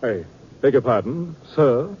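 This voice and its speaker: An actor playing a grandiose, hammy small-time stage actor, with pompous theatrical diction, in an old-time radio western. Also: male voice, animated, unhurried, breathy edge. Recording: dull, noisy vintage radio recording with rumble.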